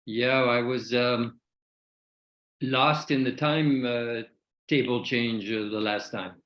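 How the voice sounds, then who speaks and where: A man's voice: mid-range voice, fairly even intonation, slow. An older American man with a slight French tinge to his accent, a guest osteopath chatting hesitantly on a video call. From United States. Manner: hesitant, many fillers. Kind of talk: casual video call chat. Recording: roomy, dull video call audio.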